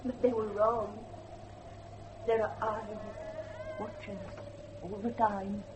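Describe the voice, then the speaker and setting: Haunted, fearful gentlewoman played by an actress, noisy soundtrack. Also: mid-range voice, little pitch movement, slow pace, natural voice. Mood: haunted, wistful. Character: haunted, timid gentlewoman living in fear of watchers. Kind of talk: gothic horror drama acting.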